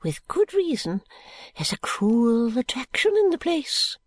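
A woman voicing a frail, rambling old woman who talks in high fluttering swoops and drops into secretive whispers. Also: sing-song, unhurried, heavily acted. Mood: confiding.

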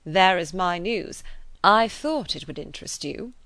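A woman voicing a composed, wry, sensible gentleman in an audiobook, measured and matter-of-fact. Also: fairly low pitch, expressive, no echo. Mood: assured. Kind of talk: audiobook character voice.

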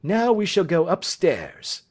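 A man voicing a brisk, courteous male detective, inquisitive and precise, in an audiobook. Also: sits high, very animated, pitched above the performer's own voice, exaggerated voice. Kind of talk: audiobook character voice.

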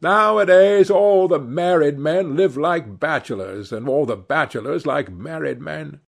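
A man's voice: a little high, a little slow, creaky, pitched above the performer's own voice. A man voicing a chatty older society hostess in a storybook reading, theatrical and exclamatory. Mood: chiding.

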